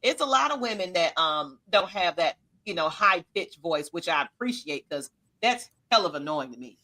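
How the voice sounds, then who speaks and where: A woman's voice: expressive, moderate pace, sharp tone. A woman, co-hosting a casual fan chat and laughing often. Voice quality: low-toned voice. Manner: laughs often, loose and chatty. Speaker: podcast co-host.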